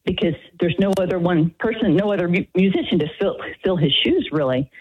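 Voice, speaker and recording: A woman's voice: fairly low pitch, fairly quick, breathy edge. A woman in her fifties calling a radio talk show, nervous and hesitant, with many fillers and restarts. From Texas, United States. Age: 50s. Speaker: caller. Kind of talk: radio call-in chat. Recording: phone line.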